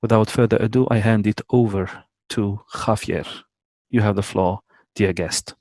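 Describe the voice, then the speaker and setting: A man hosting an online talk over a video call, reading a formal guest introduction in a measured, careful way. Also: fairly low pitch, steady tone, darkish tone, no room sound. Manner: measured, careful with names, small self-corrections. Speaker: host introducing a guest speaker.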